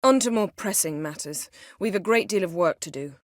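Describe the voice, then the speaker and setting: An actress playing a proud, sharp-tongued, guarded sorceress in a video game, speaking in a cool, clipped, dryly sardonic way. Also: female voice, fairly low pitch, lively intonation, fairly quick, no room sound, studio quality, lightly acted. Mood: stern, guarded. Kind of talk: scripted game dialogue acting.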